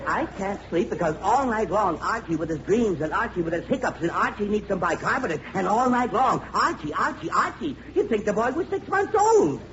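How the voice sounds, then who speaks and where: A dull, hissy vintage radio comedy recording of a fussy middle-aged father. His voice is very high and indignant, sputtering and repeating itself in exasperation. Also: sing-song, a little fast, hardly any pauses, fairly bright tone. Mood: exasperated. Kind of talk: 1940s radio comedy acting.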